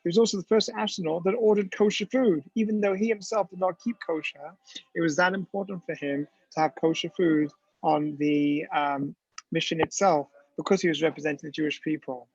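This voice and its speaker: A man's voice: a little high, steady tone, fairly quick, darkish tone, husky, no echo. A man giving a storytelling lecture with slides, talking conversationally with many ums and restarts, in a dull, dry lo-fi recording.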